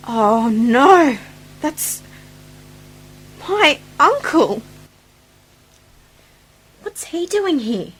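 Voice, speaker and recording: A woman's voice: sits high, big pitch swings, extremely slow, pauses often, exaggerated voice. A woman playing a worried, stubborn young woman in an amateur radio play, earnest and questioning. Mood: alarmed, defiant. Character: worried, stubborn young woman anxious to rescue her uncle.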